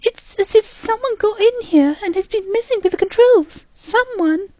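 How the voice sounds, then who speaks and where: A man's voice: extremely high pitch, normal speed, dark tone, dry recording, muffled recording, voice pushed up. A man voicing a frightened, pleading young girl who calls out to her grandfather. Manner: anxious, pleading.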